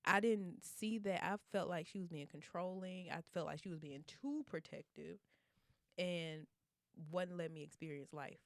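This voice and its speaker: A woman in her mid-twenties co-hosting a podcast, chatting casually and telling stories with lots of fillers and quick laughs. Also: fairly low pitch, dry recording, clean studio sound. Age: 20s. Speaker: podcast co-host. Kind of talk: casual chat.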